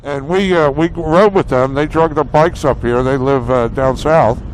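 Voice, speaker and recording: A middle-aged man co-hosting a motorcycle vlog, chatting casually in a halting, plain-spoken way over a helmet intercom. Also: mid-range voice, low-quality recording. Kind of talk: casual chat while riding. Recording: faint road and engine noise.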